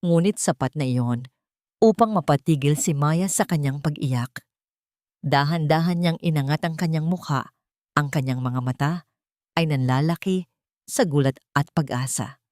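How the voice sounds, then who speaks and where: A woman's voice: low voice, moderate pace, pauses often, a little mellow, dry recording, studio quality. A woman reading a scripted first-person story in a dramatic storytelling style. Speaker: story narrator.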